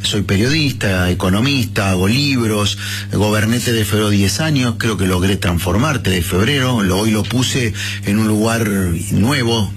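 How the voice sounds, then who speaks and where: A man's voice: lowish voice, unhurried, faint music behind. A man in his fifties with an Argentine Rioplatense accent, a guest in a radio interview, talking bluntly and colloquially with conviction. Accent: Rioplatense Spanish. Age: 50s. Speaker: interviewed politician, former mayor.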